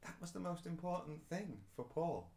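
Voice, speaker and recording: A man's voice: fairly high pitch, big pitch swings, normal speed, warm tone. A man, preaching a recorded sermon to camera in a small indoor room, earnest and emphatic. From England. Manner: earnest, rising and falling emphasis, gestures while speaking. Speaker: pastor.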